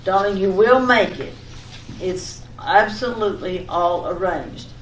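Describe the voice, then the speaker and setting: An echoey, distant recording with faint noise carries an actress as an insistent wife from an old radio comedy. She rambles breezily through her explanations at a middle pitch, coaxing with mild exasperation. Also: measured pace, natural voice. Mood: insistent, over-explaining. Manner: chattering, coaxing, over-explaining. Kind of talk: old-time radio domestic comedy acting.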